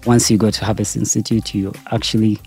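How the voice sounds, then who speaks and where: A man's voice: fairly low pitch, flat delivery, fast, darkish tone, slightly rough, faint music behind. A Ugandan man in his forties answering interview questions about his work, with a hesitant manner full of fillers. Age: 40s. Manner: hesitant, many fillers and restarts. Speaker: interview guest, entrepreneur. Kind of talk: casual interview conversation.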